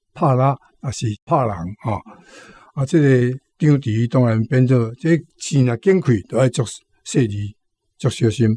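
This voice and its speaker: A man's voice: mid-range voice, dark tone, no echo. A man with a Taiwanese accent hosting on radio, explaining words conversationally and repeating his points. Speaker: radio show host. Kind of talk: explanatory commentary on Taiwanese vocabulary.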